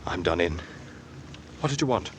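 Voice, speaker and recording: An intense, bitter young man in a mid-century radio thriller. His pitch swings widely as he moves between muttering and outbursts, speaking in clipped phrases. The old recording carries faint noise. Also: animated, normal speed. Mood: weary, curt. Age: young adult. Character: John Burns, bitter, hot-tempered young man hiding a killing. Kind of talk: mid-century American radio drama acting.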